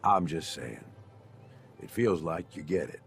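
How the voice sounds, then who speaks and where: An actor playing a gruff, jaded raider lieutenant in a video game, blunt and plain-spoken with a folksy turn of phrase. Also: male voice, a bass voice, animated, a little slow, a little hiss or hum, heavily acted. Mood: earnest, gruff. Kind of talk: video game character dialogue.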